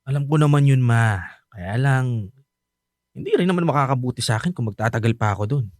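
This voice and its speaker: A man's voice: mid-range voice, lively intonation, fairly quick, darkish tone, no room sound, clean studio sound, close to an everyday voice. Man acting bitter, insistent young son. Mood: weary, frustrated. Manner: heated, insistent, emphatic. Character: bitter, resentful young adult son, protective of mother. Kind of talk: radio drama acting.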